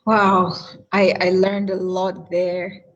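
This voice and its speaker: A woman's voice: on the low side, little pitch movement, slow, muffled recording. A woman who grew up in Cameroon, moderating a panel discussion over a video call and speaking earnestly. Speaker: webinar moderator.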